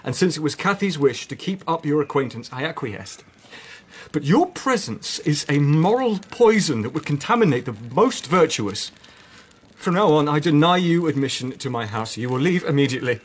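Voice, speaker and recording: An actor playing a stern, formal gentleman husband in a period radio drama, restrained but indignant. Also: fairly high pitch, expressive, normal speed, slightly rough.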